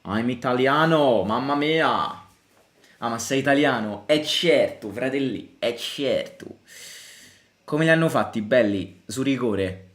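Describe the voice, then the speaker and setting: A young man from Italy hosting a live football watchalong. He chats casually, answers questions from viewers and breaks into excited reactions to the play. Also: medium pitch, unhurried. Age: young adult. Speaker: fan channel host.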